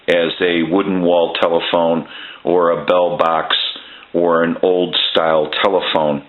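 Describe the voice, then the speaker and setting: Deep-voiced man explaining a telephone repair. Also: roomy sound, phone-quality sound. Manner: plain step-by-step explaining with frequent "uh" pauses. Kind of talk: workshop repair walkthrough. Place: repair workshop.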